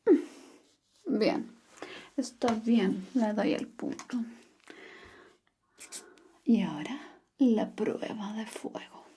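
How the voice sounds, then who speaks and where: A woman whispering softly and teasingly, quizzing the listener on Chilean slang in an ASMR roleplay. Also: mid-range voice, lively intonation, slight background noise. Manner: slow, soft, teasing like a teacher. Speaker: Spanish slang teacher.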